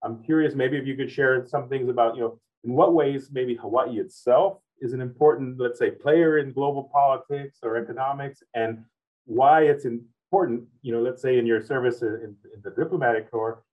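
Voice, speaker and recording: A man's voice: medium pitch, normal speed, recorded from a distance. A man hosting an interview, asking long, rambling questions that loop back on themselves. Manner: rambling, loops back on his phrasing. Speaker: interviewer host. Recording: tinny phone-like sound, off-mic.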